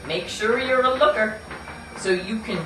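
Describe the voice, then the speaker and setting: A woman's voice: a little high, measured pace, music in the background. A woman narrating and hosting a live puppet show, telling the story theatrically in a folksy, playful way straight to the audience. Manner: folksy, playful, addressing listeners directly. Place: live show in a room, away from the mic. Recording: echoey room from far off, noisy.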